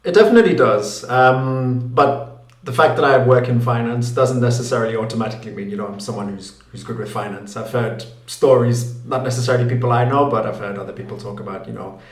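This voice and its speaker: A man's voice: medium pitch, fairly quick. Roomy-sounding man in his late twenties. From Newcastle, England. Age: late 20s. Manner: thoughtful, many fillers and restarts, laughs easily. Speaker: guest, finance YouTuber. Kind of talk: casual interview answers. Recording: roomy echo.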